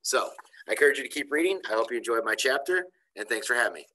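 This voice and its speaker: Animated male teacher reading a children's story. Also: medium pitch, fast talker, slight background noise, low-quality recording. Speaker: elementary school teacher reading aloud. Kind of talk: expressive children's storybook read-aloud. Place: bright indoor school room. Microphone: computer webcam on a video call.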